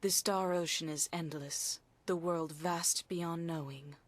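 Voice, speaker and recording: An actress playing a stern, haughty fortune teller in a video game, speaking in lofty, scolding, formal phrases. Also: female voice, lowish voice, steady tone, slow pace, no echo. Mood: stern, scornful. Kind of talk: dramatic video game cutscene acting.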